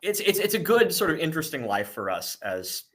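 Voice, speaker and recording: A middle-aged man from the United States, a guest talking in a relaxed interview, often restarting and repeating words. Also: a little high, fairly quick. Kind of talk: conversational interview.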